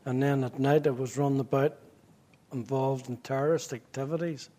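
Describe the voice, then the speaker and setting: A man's voice: medium pitch, steady tone, dark tone. Wry Belfast man telling his story. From Northern Ireland. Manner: wry, joking, halting restarts. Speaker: pastor. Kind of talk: interview storytelling.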